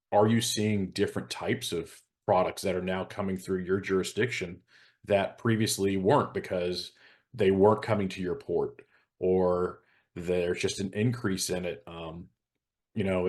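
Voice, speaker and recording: A man talking as a show host in a casual professional discussion, explaining and giving practical advice. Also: low-pitched. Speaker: hazmat consultant and show host.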